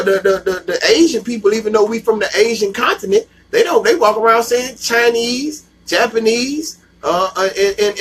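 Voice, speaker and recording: A man's voice: high voice, fairly bright tone, husky, poor audio. A man teaching scripture in an emphatic call-and-response style, slangy and repeating himself for emphasis. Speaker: bible teacher. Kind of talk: street-level scripture teaching.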